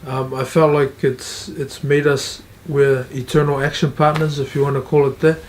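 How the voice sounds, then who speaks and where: Earnest New man, flat tone. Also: a little high, moderate pace, slight background noise. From Tonga, raised in New Zealand. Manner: earnest, repeats and restarts phrases. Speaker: church leader sharing his experience. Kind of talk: testimonial interview.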